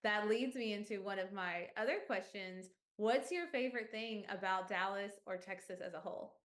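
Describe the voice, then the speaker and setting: A woman's voice: mid-range voice, moderate pace. Upbeat woman host, mid-range voice, recorded in an echoey room. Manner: upbeat, repeats "awesome". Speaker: interview host for an outreach series. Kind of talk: friendly interview hosting.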